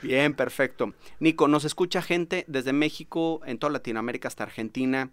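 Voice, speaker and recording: A man's voice: medium pitch, a little fast, dry recording. A Mexican man hosting a podcast in a small recording booth, friendly and easygoing, with lots of "este" fillers. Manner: friendly, laughs easily, many fillers like "este". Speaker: podcast host. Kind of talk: podcast interview.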